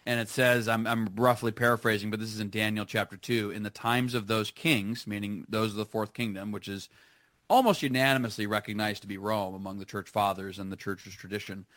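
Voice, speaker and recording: A man's voice: on the low side, steady tone, a little fast, fairly bright tone, no room sound, studio quality. A man as a guest on a live radio show, chatting enthusiastically with plenty of fillers and restarts. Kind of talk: casual radio conversation.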